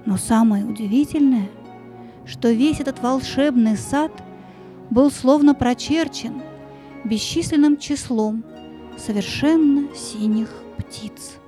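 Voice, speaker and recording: A woman's voice: sits high, slow, warm tone, soft background music. A woman narrator telling a fairy tale expressively in an audio play.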